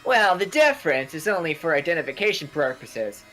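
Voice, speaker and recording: A woman's voice: deep voice, sing-song, brisk, a bit bright, faint music behind, low-quality recording. A woman voicing a childlike robot bear cub, earnestly explaining things in a game fan dub.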